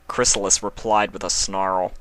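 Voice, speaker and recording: A man's voice: sits low, flat delivery, normal speed, fairly bright tone, slight background noise. A man narrating a scripted story as its reader.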